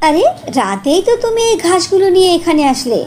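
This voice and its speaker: Woman acting a high-voiced, concerned village wife. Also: very animated, brisk, a little mellow, a little hiss or hum. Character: woodcutter's family, concerned wife and young daughter. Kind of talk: cartoon fairy tale voice acting.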